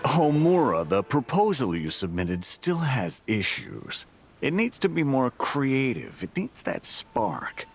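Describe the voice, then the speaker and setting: A demanding office boss, with a mid-pitched voice and hugely swinging intonation. He is condescending and dismissive, in game-drama style with faint music behind. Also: very animated, breathy edge, full character voice. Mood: critical, exasperated. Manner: brisk, condescending. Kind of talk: video game voice acting.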